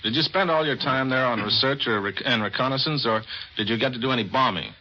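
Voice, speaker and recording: A man's voice: medium pitch, fast, pushed and bright. An American man working as a news correspondent and interviewer on 1940s radio. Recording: dull vintage 1940s radio recording.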